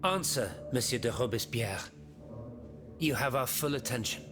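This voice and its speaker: An actor playing a dignified presiding chairman of a revolutionary assembly in a game cutscene, formal and measured. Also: mid-range voice, expressive, lightly acted. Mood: measured, official. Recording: music under the voice.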